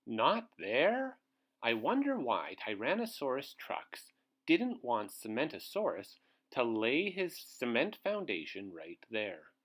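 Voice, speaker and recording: Man, bright voice, very animated pitch, children's read-aloud host, expressive, asks listeners questions. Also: slow pace.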